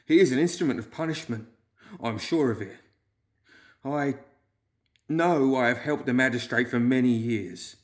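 A man's voice: mid-range voice, natural voice. Man voicing a whimpering, haunted, frightened clerk. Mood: earnest, frightened. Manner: whimpering, wailing, drawn-out fearful delivery.

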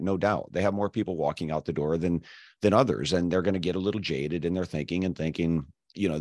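A man hosting an interview podcast whose voice is hoarse and scratchy from losing it. He talks earnestly and builds long questions in several parts. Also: deep voice, a little mellow, no echo, clean studio sound. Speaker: podcast host.

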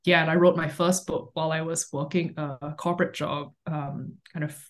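A woman's voice: low-pitched, moderate pace. Thoughtful woman author from Singapore. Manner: relaxed, thoughtful, light laughs, many ums. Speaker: author guest. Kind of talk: interview answers. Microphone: remote call mic.

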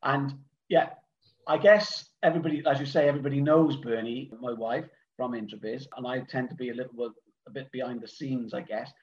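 A middle-aged man with a Welsh accent talks casually, wandering through his thoughts with frequent ums and false starts. His voice sits at an ordinary pitch. The sound is dull and lo-fi, like a remote online call. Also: fairly even intonation, a little fast. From Wales. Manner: rambling, hesitant, many fillers and restarts. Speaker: guest, event organiser. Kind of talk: casual podcast conversation.

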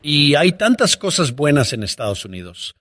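A man's voice: a little high, very animated, moderate pace, a bit bright, dry recording, soft background music. A man hosting a podcast interview, talking in an earnest, upbeat way as he welcomes and questions his guest. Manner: earnest, upbeat, patriotic praise. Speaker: podcast host.

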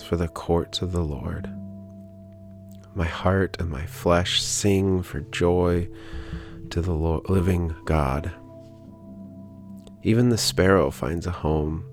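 A man leading a guided prayer meditation in a calm, gentle, unhurried manner with pauses. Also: low-pitched. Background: background music.

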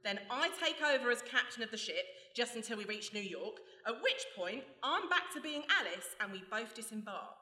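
A woman's voice: fairly high pitch, lively intonation, moderate pace, hardly any pauses, pushed and bright. A woman playing a ruthless, cocky criminal mastermind in a radio play, breezy and mocking. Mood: gleeful scheming. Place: stage before a live audience. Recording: echoey live hall.

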